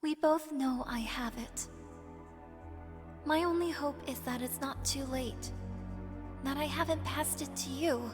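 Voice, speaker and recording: A woman's voice: sits high, unhurried, long pauses. A woman playing a heartsick young woman in a visual novel, giving a tender, earnest farewell over music. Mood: tender, sorrowful. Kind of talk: visual novel voice acting, reading a farewell letter. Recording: loud new-age music under voice. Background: new-age music.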